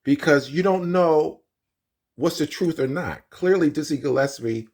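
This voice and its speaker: A man's voice: a little high, measured pace, a little mellow. Guy doing argumentative commentary video, explains stuff right at the viewers, roomy sound, kinda far from mic. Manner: addresses viewers directly, explanatory and insistent. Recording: roomy echo, distant from mic.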